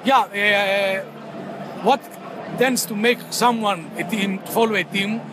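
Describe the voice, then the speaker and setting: Man in his thirties from Greece, high voice, halting speech with lots of fillers, loud street traffic behind. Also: fairly even intonation. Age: 30s. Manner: halting, many fillers and restarts. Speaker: interviewee, football fan club member. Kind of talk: casual interview.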